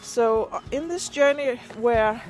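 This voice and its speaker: A woman's voice: a little high, takes it slowly, soft background music, rough recording. A native Danish-speaking woman with a Danish accent, chatting casually in a vlog and hesitating as she searches for words. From Denmark. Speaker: video and podcast creator. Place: outdoors, on a walk. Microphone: handheld device at arm's length.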